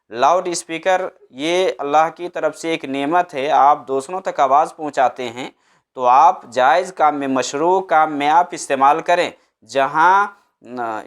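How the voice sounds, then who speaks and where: A man giving religious advice as a preacher, didactic and emphatic, repeating his points in short phrases. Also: fairly high pitch. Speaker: Islamic preacher answering a religious question.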